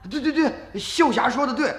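A man's voice: very high-pitched, animated, moderate pace, a bit bright, echoey room. An actor playing a flustered, agreeable village husband in a radio drama, hesitant and repeating his words. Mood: urgent. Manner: stammering repetitions, hesitant. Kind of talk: Chinese radio drama acting.

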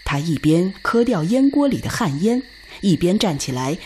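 A woman narrating a radio drama in a solemn, expressive, scripted storytelling style. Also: lowish voice, normal speed, slight background noise.

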